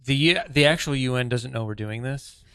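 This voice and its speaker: A man performing casual improvised comedy in a deadpan, conversational manner. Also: medium pitch, normal speed, clean tone, dry recording, clean studio sound.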